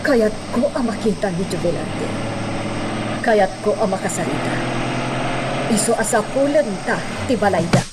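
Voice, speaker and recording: A woman's voice: medium pitch, animated, moderate pace, pauses often, lightly acted. An actress playing a determined, well-off lady in an Ilocano radio drama. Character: determined wealthy lady searching for a man. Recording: very noisy background.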